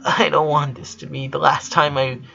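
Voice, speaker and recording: A man voicing a distressed, clingy tomboyish teenage big sister in a story reading, halting and pleading. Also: on the high side, level tone, gravelly, pushed and bright, dull audio. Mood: desperate, tearful.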